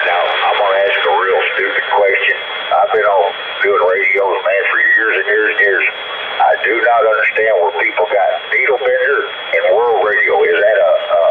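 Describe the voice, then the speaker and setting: Crackly CB radio voice, folksy man. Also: on the low side. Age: 50s. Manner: folksy, joking. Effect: CB radio transmission. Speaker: amateur CB radio operator. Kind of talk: casual two-way radio chat. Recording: narrow, very noisy CB radio reception.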